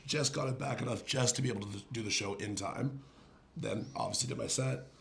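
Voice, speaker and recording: A man from Ontario, Canada, a rapper guesting on a podcast, talking in a laid-back, joking way with a hoarse, worn-out voice. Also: mid-range voice, a little fast, a bit bright, slight background noise. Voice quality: gravelly. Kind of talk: casual podcast chat.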